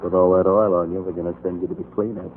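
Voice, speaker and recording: A man's voice: deep voice, flat delivery, rapid-fire, lightly acted. An actor playing a young, menacing and impatient henchman in a 1940s radio crime drama. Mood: sarcastic menace. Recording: muffled old radio recording, faint noise.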